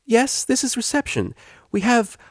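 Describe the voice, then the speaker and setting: A man's voice: very high voice, very animated, a little slow, no room sound, studio quality, voice pushed up, exaggerated voice. A man voicing an over-eager, gushing female unicorn receptionist in an audiobook story. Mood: overly cheerful. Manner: gushing, overly polite.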